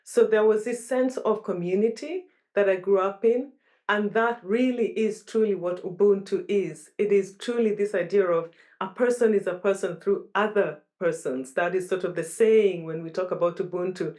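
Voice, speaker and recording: A woman talks about her upbringing in a reflective, unhurried way. She often stops and restarts mid-phrase as she searches for words, which gives her speech a considered, searching quality. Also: medium pitch, dark tone. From Kenya. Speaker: guest. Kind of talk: reflective interview talk.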